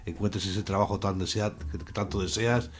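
Male, low voice, radio interviewer, informal questioning. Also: fairly even intonation, moderate pace, a little hiss or hum.

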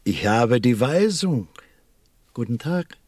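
Slow, weary, grumbling lamplighter. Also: male voice, mid-range voice, sing-song, a little mellow, no echo, studio quality. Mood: dutiful, curt. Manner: resigned, grumbling, literal-minded. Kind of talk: German audio drama acting.

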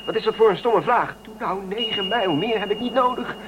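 An actor playing an anxious shuttle pilot in a science fiction radio drama, terse and commanding. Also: male voice, fairly quick, dull audio, close to an everyday voice. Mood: snappish, urgent. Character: anxious male shuttle pilot, gruff under pressure. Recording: noisy, rumbling craft ambience.

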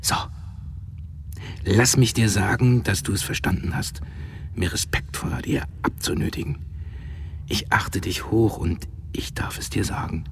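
An actor playing a proud, sentimental middle-aged headmaster in a radio drama, gravelly, effusive and halting, both tender and imperious. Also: male voice, fairly low pitch, in a whisper. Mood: solemn, pleading. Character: proud, sentimental fallen headmaster, older, confiding yet domineering. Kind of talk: literary radio drama acting.

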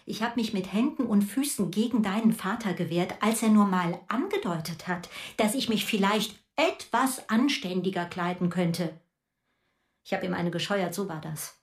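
A woman's voice: mid-range voice, moderate pace, natural voice. An actress playing an angry, proud middle-aged mother who rants with sarcasm in a radio drama. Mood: indignant.

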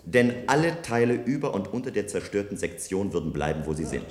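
Low-voiced, self-assured visionary engineer. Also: male voice, big pitch swings, darkish tone. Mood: assured, insistent. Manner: measured, declarative, persuasive. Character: self-assured visionary male engineer proposing a bold tower. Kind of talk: German radio play acting, science fiction. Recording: roomy, echoing studio radio play sound.

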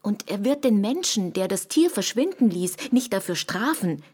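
Husky, cool, calculating confidante. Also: female voice, medium pitch, sing-song, normal speed, a little mellow. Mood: cool, reassuring. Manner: controlled, persuasive. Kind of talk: intimate radio drama dialogue.

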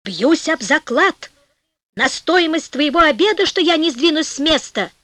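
A woman's voice: sits high, expressive, measured pace, a bit bright, dry recording, voice pushed up. Woman playing a blustering, hot-tempered innkeeper. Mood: angry, indignant. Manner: indignant, blustering, emphatic.

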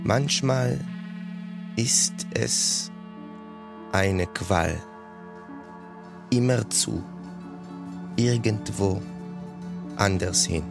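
An actor playing a weary, wandering singer in a radio drama, brooding and halting in his delivery. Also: on the low side, vocal fry, music in the background, exaggerated voice.

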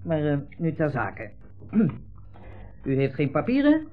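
An actor playing a breezy, patronising army captain at a refugee centre, in 1960s radio drama style. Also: male voice, fairly high pitch, wildly swooping pitch, slow pace, soft background music, exaggerated voice. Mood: glib, breezy. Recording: muffled 1960s radio broadcast recording.

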